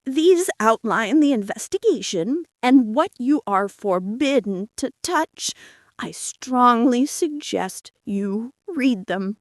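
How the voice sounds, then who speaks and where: A woman's voice: a little high, animated, slow pace, no room sound. A woman voicing a stern, authoritative female police detective in audiobook-style story dialogue.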